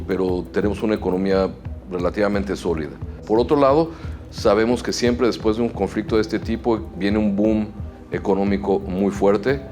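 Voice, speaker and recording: A middle-aged man from Mexico with a Mexican Spanish accent, answering interview questions in a measured way with frequent hesitations. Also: on the low side, a little mellow. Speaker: chamber of commerce president.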